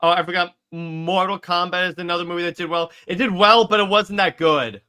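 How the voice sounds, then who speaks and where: Male co-host, high and crisp, agreeable, casual fan talk with repeated phrases for emphasis. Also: steady tone, fairly quick, sharp tone. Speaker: co-host fielding audience questions.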